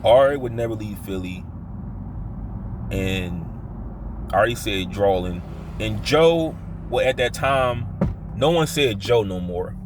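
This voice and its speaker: Male vlogger, Philadelphia accent, lowish voice, leans hard on his O's, halting and repetitive personal storytelling. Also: noisy background. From Philadelphia, United States. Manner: stresses his O vowels; halting, repetitive, circles back to his point.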